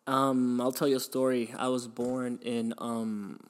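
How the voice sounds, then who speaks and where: A man's voice: mid-range voice, flat delivery, unhurried, smooth. A young man from Venezuela hosting a podcast, telling his life story in a reflective, hesitant way with frequent restarts and sighs. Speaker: podcast host.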